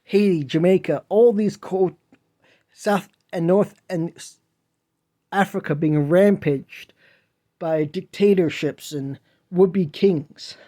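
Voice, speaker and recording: A man's voice: sits high, slow, mellow. A man hosting a podcast, delivering an impassioned solo monologue in a whiny voice, earnest and preachy as he pleads with listeners. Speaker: podcast host.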